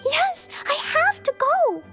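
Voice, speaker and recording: A woman's voice: sing-song, slow, full character voice. A woman voicing a sweet, innocent, earnest little girl in an anime-style video game, with a childlike voice. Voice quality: childlike, very high. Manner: refers to herself by name. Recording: music behind the voice.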